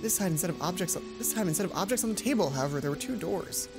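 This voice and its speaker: A woman hosts a let's play of a visual novel. She reads the narration aloud and breaks into casual asides. Game music is clearly present under her voice. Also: fairly low pitch, expressive, very fast.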